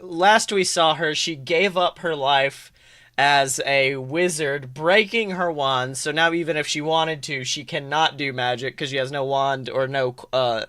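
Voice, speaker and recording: Male podcast host, slightly high and crisp, casual, slangy and excitable. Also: measured pace. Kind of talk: casual chat.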